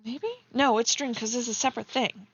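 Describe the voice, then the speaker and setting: A woman's voice: fairly high pitch, fairly even intonation, fairly quick, a bit bright, husky, no echo, lo-fi sound. A woman chatting casually on a stream as she reads aloud and critiques, teasing and blunt with sarcastic asides. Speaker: streamer reading and critiquing fan fiction. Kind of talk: casual live commentary mixed with reading aloud.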